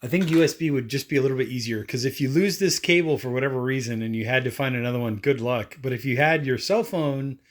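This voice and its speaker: A man with a mid-pitched voice talks through a product demo as if chatting off the cuff. He rambles in an offhand, casual way, dropping in little tag questions and stock phrases as he goes. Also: quick pace, few pauses. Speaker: product reviewer. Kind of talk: casual unscripted product demonstration.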